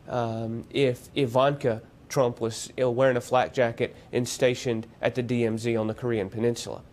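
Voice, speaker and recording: Vet giving a TV interview, mid pitch, halting with lots of ums. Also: male voice. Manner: hesitant, many fillers, grows forceful. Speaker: interview guest. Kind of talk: political interview on television.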